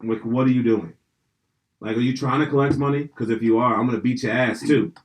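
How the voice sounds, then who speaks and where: A man's voice: mid-range voice, a little fast, low-quality recording. A young man from Pennsylvania hosting a podcast, telling rambling stories in casual, profane chat. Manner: profane, rambling, animated storytelling. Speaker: podcast host.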